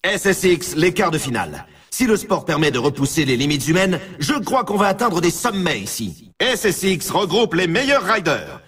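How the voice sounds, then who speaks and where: High, excitable snowboard race announcer. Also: male voice, moderate pace, slightly rough, distant mic, heavily acted. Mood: grand, hyping. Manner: dramatic, emphatic showman delivery. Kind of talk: sports game commentary.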